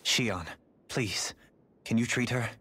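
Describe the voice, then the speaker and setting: An actor playing a guilt-ridden, gentlemanly young noble with formal, measured, grave diction in a dramatic video game. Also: male voice, medium pitch, slightly rough, heavily acted. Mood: grave, remorseful.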